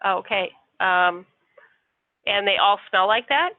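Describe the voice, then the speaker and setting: A woman's voice: medium pitch, slow, dry recording. A woman working customer service, answering politely and patiently with some hesitation. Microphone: phone. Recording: tinny dry phone line.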